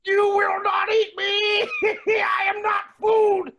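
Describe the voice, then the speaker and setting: A man playing a flamboyant, menacing and unhinged billionaire villain in a staged play reading, with a raspy, creaky voice and grandiose delivery. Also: very high voice, exaggerated voice. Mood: manic triumph. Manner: theatrical, grandiose, swinging from mutters to shouts.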